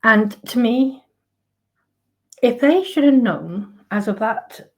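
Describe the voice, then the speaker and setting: A woman with a croaky voice, ranting in casual commentary with outraged sarcasm and plenty of fillers and restarts. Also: a little high, lively intonation, takes it slowly, darkish tone. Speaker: commentary vlogger.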